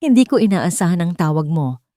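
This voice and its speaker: A woman's voice: lowish voice, big pitch swings, fairly quick, warm tone, no echo. Tired male lawyer acted by a woman, audio drama, Filipino accent, subdued, unsmiling, regretful, dry close sound. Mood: guarded. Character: weary male lawyer, bitter, ashamed, reserved former brother-in-law.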